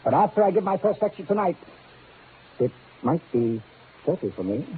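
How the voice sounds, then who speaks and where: A man's voice: on the high side, very animated, normal speed. An actor playing a self-important crusading newspaper man in a 1950s radio drama, pompous and oratorical. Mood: solemn. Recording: tinny, narrow old radio recording, faint noise.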